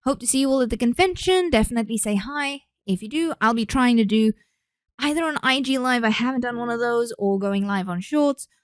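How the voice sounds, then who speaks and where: A woman's voice: on the high side, a little fast, clear, resonant tone, no echo. A young woman, chatting casually and rambling through her own podcast. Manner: chatty, rambling, with frequent restarts. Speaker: podcast host. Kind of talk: casual solo podcast chat.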